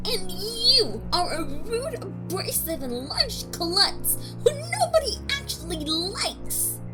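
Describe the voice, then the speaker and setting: A woman acts out a young female bard from a fantasy audio drama. Her voice is high and swoops with feeling, and she takes her time over her words. She comes across as earnest and quick to take offense. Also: over loud music, exaggerated voice. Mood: indignant. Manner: expressive, indignant when provoked.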